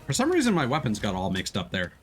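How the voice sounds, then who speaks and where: Animated man live-streaming a video game. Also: mid-range voice, fast, a little hiss or hum. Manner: playful, freely swearing. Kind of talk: casual live game commentary, chatting with viewers.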